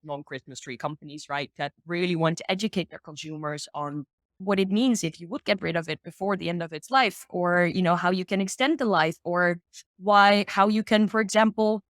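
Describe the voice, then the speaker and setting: A woman's voice: on the low side, fairly quick, no room sound. Woman, expert guest, informal and upbeat explainer, conversational, thinks aloud, dry recording. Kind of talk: casual explanatory discussion.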